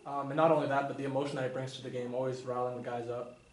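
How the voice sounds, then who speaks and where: A man asking quick questions as a reporter, with a few fillers. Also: medium pitch, flat delivery, darkish tone, slight background noise. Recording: distant, roomy.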